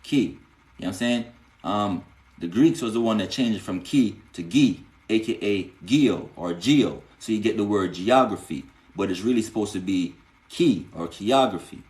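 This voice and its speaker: A man's voice: fairly low pitch, lively intonation, moderate pace, darkish tone, echoey room, poor audio. A man, teaching spiritual lore casually on a live stream and answering viewers, often asking "you know what I'm saying" and rising into emphatic bursts.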